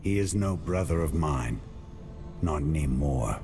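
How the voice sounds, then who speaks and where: An actor voicing a stern, defiant warrior hero, grimly determined, in a fantasy video game. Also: male voice, rumbling low, lively intonation, a little slow, heavily acted. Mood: grim resolve. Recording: loud music behind. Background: loud game score.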